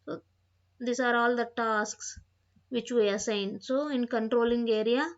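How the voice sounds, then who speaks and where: A woman giving an instructional software tutorial, reading out points and repeating phrases as she explains them, on a dull, lo-fi microphone. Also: a little high, steady tone, a little slow. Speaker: software trainer.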